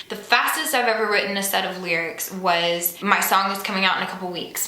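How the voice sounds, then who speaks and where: A woman's voice: lowish voice, bright, forceful tone, roomy sound, poor audio. A young woman, a singer-songwriter, casually answering fan questions in a chatty, self-deprecating way, with plenty of "like" fillers. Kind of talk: casual Q&A chat. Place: home room.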